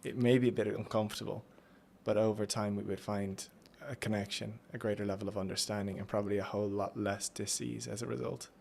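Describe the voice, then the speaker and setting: An Irish man hosting a reflective conversation, musing slowly and thoughtfully. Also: fairly low pitch, little pitch movement, gravelly, a little mellow, a little hiss or hum. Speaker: podcast host.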